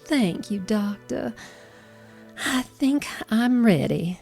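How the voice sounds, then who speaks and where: A woman's voice: a little high, expressive, slow pace, vocal fry, darkish tone, soft background music, heavily acted. An actress playing a troubled, frightened young woman under hypnosis in an audio drama, speaking hesitantly. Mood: meek, drowsy.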